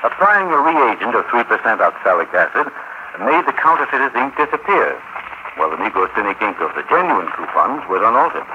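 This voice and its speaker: Actor as an earnest, fast-talking counterspy aide. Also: male voice, mid-range voice, very animated, close to an everyday voice. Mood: matter-of-fact. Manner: respectful, crisp reporting. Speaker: radio actor. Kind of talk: 1940s radio drama acting. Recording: muffled, noisy 1940s radio recording.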